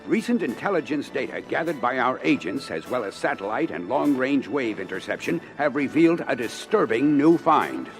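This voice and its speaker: An actor playing a stern, authoritative planetary defense minister in an audio adventure, speaking in formal, measured, emphatic oratory. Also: male voice, mid-range voice, big pitch swings, soft background music. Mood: firm, official. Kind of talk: audio adventure record acting, military briefing speech.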